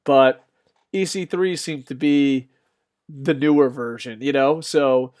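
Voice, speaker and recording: A young man with a slightly squeaky voice, rambling through off-the-cuff wrestling news commentary with lots of fillers and restarts. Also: steady tone, slow, a little mellow. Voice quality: a bit squeaky.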